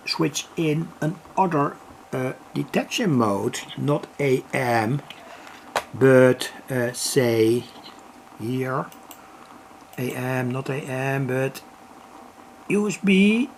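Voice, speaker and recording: A man giving an unscripted hobby radio demonstration, halting and thinking aloud as he works. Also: medium pitch, a little hiss or hum.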